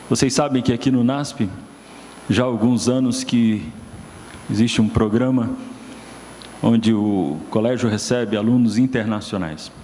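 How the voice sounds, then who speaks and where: A man's voice: medium pitch, mellow. A male pastor delivers a sermon in a church, his voice carrying a long hall reverb over a faint background hiss. He builds his points with rising, emphatic questions before calling a guest up to talk. The recording has the open, echoing feel of a sanctuary. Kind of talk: sermon and stage interview.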